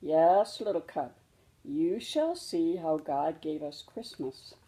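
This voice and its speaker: A woman voicing a gentle, patient mother bear who explains things reassuringly in a children's storybook. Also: low voice, lively intonation, slow, low-quality recording. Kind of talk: children's storybook read-aloud.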